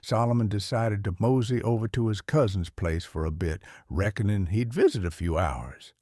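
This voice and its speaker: A man with a Southern US accent reading a children's storybook aloud in a folksy, expressive way. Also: fairly low pitch, moderate pace, slightly rough, dry recording, studio quality. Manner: folksy, animated.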